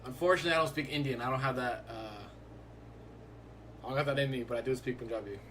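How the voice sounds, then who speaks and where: A man's voice: medium pitch, fast talker, a bit bright, a little hiss or hum. Laid-back young male streamer. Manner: laid-back, rambling, fills talk with "like". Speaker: livestreaming day trader. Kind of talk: casual live-stream chat.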